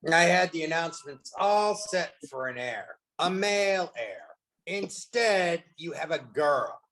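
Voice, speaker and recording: A man's voice: a little high, animated, a little slow, fairly bright tone, poor audio. A man playing a proud, possessive, threatening king in an online play reading. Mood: cold, humiliated.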